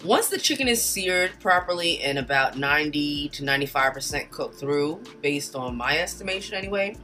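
Low, casual woman narrator for a home cooking voice-over, soft music under her. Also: normal speed. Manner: relaxed, chatty instructions with casual asides. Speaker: cooking channel host. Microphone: home voice-over mic.

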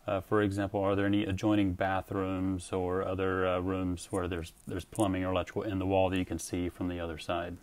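A man's voice: sits low, monotone, a little fast, few pauses, darkish tone. A man giving a casual how-to narration as a home DIY renovator, plain-spoken and matter-of-fact. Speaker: home DIY renovator presenting his own project.

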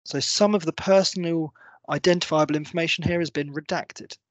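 A man in his thirties presenting a software walkthrough, explaining step by step in a semi-scripted tutorial style. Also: on the high side, fairly even intonation, fairly quick, no room sound, lo-fi sound. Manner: clear, step-by-step explanatory delivery. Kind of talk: semi-scripted screen-share tutorial narration.

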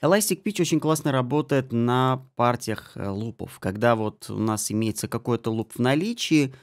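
A man giving a spoken software tutorial as an audio mixing tutor, explaining settings step by step. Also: mid-range voice, expressive, normal speed. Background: music and guitar samples.

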